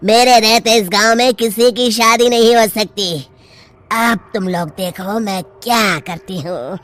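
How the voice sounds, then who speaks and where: A woman's voice: on the high side, measured pace, a bit bright. A woman voicing a menacing witch in a children's cartoon, speaking in a threatening way with cackles. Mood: menacing, gloating. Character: menacing female witch who haunts village weddings. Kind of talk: children's cartoon story acting.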